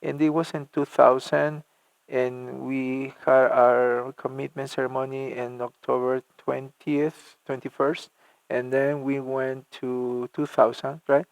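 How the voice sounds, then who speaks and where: Gravelly man telling his story. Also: medium pitch, little pitch movement, no echo, poor audio. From Argentina. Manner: halting, many fillers and restarts. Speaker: church music minister. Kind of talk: interview, personal story.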